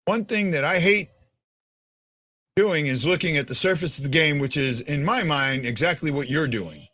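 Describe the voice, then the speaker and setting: A man's voice: fairly high pitch, expressive, normal speed, bright, forceful tone, no room sound, clean studio sound. A man in his fifties hosting a hockey fan show as a casual livestream chat, blunt and prone to repeating himself. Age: 50s. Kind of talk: casual livestream chat answering viewers' comments.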